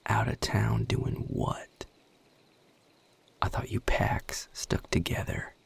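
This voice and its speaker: A man voicing a guarded, gruff vampire with a Southern US accent in an audio roleplay, husky and breathy, drawling with sardonic, curt authority. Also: fairly even intonation, takes it slowly, whispered, heavily acted. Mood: suspicious. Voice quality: gravelly, husky, breathy low voice. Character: guarded, gruff Southern male vampire defending his territory. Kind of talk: audio roleplay addressed to the listener.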